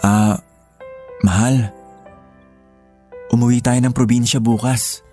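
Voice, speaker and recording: An actor playing a proud, supportive husband and father in a Filipino radio drama, gentle and affectionate. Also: medium pitch, fairly even intonation, takes it slowly, soft background music, lightly acted.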